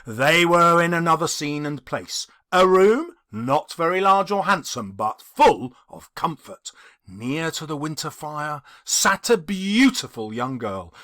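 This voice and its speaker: A man narrating a classic novel as an audiobook in a theatrical, expressive storytelling style. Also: a little high. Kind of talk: dramatic scripted storytelling.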